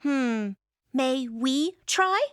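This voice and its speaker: A woman's voice: high-pitched, animated, drawn-out words, fairly bright tone, clear, resonant tone, no room sound, studio quality, full character voice. A woman playing a naive, curious young scientist's daughter with formal, literal-minded phrasing, in a radio sci-fi parody. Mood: earnest, intrigued. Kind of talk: old-time radio sci-fi parody acting.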